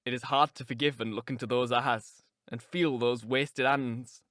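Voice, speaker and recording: Man acting a low, brooding, tormented lover. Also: fairly even intonation, moderate pace, fairly bright tone, dry recording, clean studio sound, natural voice. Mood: anguished, tender. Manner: intense, earnest, low murmuring. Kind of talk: dramatic audiobook character voice.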